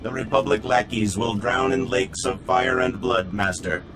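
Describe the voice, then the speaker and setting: An actor playing a dutiful, menacing combat droid in a video game, speaking formally and mechanically. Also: male voice, fairly low pitch, moderate pace, background noise, lightly acted. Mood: dutiful menace.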